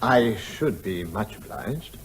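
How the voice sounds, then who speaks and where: Polite English solicitor, husky and animated. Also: male voice, fairly low pitch, slow pace, exaggerated voice, low-quality recording. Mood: courteous. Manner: hesitant, formal, apologetic. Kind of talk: comic radio drama acting.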